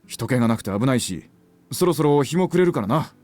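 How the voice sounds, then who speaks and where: Middle-aged visual novel dad, male actor: polite, hesitant, well-meaning, animated pitch, close dry studio sound. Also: rapid-fire, no echo, close to an everyday voice. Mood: concerned. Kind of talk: Japanese visual novel voice acting.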